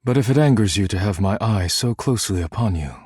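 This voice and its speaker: An actor voicing a stern, proud, duty-bound living dishware caretaker in a video game, with a formal, clipped, solemn delivery and a husky voice. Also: male voice, lowish voice, lively intonation, quick pace, a little mellow, no echo, studio quality. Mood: strict, reserved. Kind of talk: video game character voice lines.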